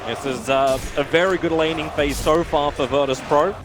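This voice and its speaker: A man with an Australian accent doing play-by-play commentary on an esports match, building to excited shouting in fights. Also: fairly high pitch, normal speed, background noise. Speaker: esports caster.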